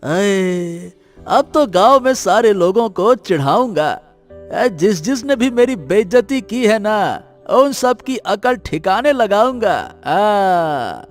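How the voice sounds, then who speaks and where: Man as a high, boastful, jumpy villager. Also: expressive, slow pace, faint music behind. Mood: gloating. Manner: stammering, repeating exclamations. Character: boastful greedy village man, easily panicked. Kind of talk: animated Hindi moral story voice acting.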